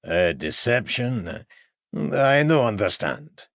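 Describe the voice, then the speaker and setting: A man's voice: fairly low pitch, steady tone, takes it slowly, gravelly, a bit bright, clear, resonant tone, no room sound, clean studio sound, heavily acted. A man voicing an anxious foreign street violinist who speaks in broken English and refers to himself by name. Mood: bewildered. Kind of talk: audiobook character voice.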